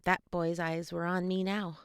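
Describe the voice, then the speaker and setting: Woman reading a ya fantasy audiobook, expressive, lowish creaky voice, very dry recording. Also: a little slow, no echo. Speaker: audiobook narrator. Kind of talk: dramatic first-person scripted narration of young adult fantasy.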